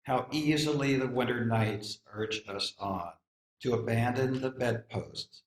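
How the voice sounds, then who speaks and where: A man's voice: mid-range voice, unhurried. A man taking part in a service as a poetry reader, speaking to those gathered in an informal, literary way. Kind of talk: informal introduction and poem reading. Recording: echoey room.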